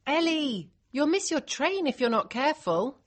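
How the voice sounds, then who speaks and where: A woman's voice: on the high side, big pitch swings, moderate pace, a bit bright. A woman voicing an emphatic, bossy but affectionate female relative in audiobook character dialogue. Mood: urgent, bossy. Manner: urgent, emphatic, gushing.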